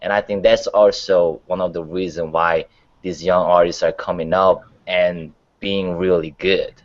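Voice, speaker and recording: A man's voice: low-pitched, flat delivery, unhurried, dull audio. A man giving his views as a panelist in a casual discussion. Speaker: panelist on a music talk show.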